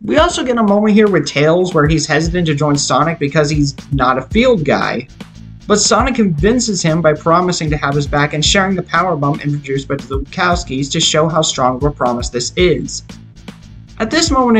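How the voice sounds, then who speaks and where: A man with a slightly high voice narrates a scripted video review of a movie. He is explanatory and opinionated, with music playing behind him.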